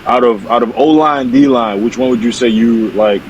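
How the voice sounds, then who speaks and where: A man's voice: mid-range voice, moderate pace, a little hiss or hum, poor audio. A man co-hosting a sports podcast, eager and rambling, with many restarts. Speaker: podcast co-host. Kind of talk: casual podcast chat.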